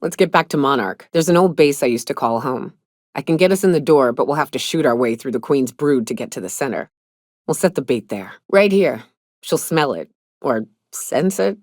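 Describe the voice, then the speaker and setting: A gruff female monster hunter from a video game, pitched low and recorded very dry. She drawls in a folksy, sardonic way, like a hard-drinking trail guide who has seen plenty. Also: big pitch swings, normal speed, no echo. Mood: determined. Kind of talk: video game character dialogue.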